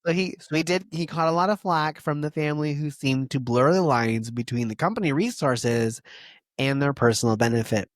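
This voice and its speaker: A man's voice: fairly high pitch, normal speed, no room sound, studio quality. A man co-hosting a podcast in casual chat, laughing easily and reading quotes aloud as he tells a story. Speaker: podcast co-host.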